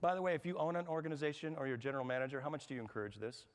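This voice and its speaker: A man giving a lively workshop talk to a live audience, asking them questions and talking in a conversational way. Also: a little high, rapid-fire. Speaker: speaker at a management workshop. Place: large hall with an audience. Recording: long hall reverb.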